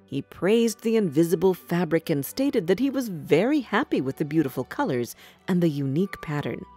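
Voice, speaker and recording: A woman narrating a scripted fairy tale for children in an expressive, measured storytelling manner. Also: lowish voice, husky, soft background music.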